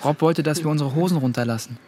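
Young man as a shaken teenage boy, higher-pitched voice. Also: fast, darkish tone, close to an everyday voice. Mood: earnest recounting. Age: young adult. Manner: earnest first-person recounting. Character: shaken fourteen-year-old boy recounting a hostage ordeal. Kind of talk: radio drama narration.

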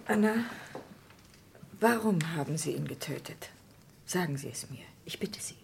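A woman's voice: sits low, lively intonation, moderate pace, creaky, slight background noise, exaggerated voice. An actress playing an anguished, pleading woman in a radio crime drama, urgent and moving between whispers and cries. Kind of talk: 1970s German radio crime drama acting.

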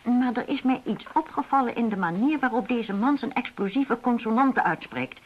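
A woman's voice: a little high, animated, moderate pace, slightly rough. An actress in a radio play playing an attentive female expert who explains things politely and tentatively. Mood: observant, explanatory. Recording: dull, muffled radio play recording.

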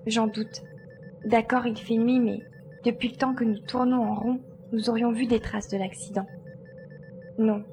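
A woman's voice: on the high side, flat delivery, unhurried, mellow, soft background music. A woman acting a determined, analytical young female pilot in an audio drama. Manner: decisive, reasoning aloud.

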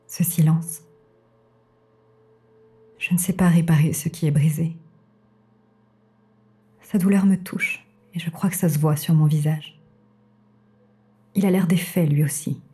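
A woman playing a low, dark-voiced melancholy assistant. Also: fairly even intonation, a little slow, long pauses. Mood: sorrowful. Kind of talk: first-person noir audio drama narration.

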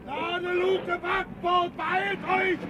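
Distant deckhand shouting, very high pitched. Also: male voice, level tone, slow pace, dull audio, exaggerated voice. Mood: urgent. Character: urgent shouting deckhand calling out orders. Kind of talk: 1960 German radio crime drama acting. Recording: distant from mic, noisy vintage radio.

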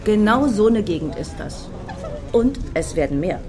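A woman's voice: mid-range voice, very animated, normal speed, crowd noise, natural voice. A woman acting in a satirical radio play as a tart, ironic friend on a night out, mocking with dry irony.

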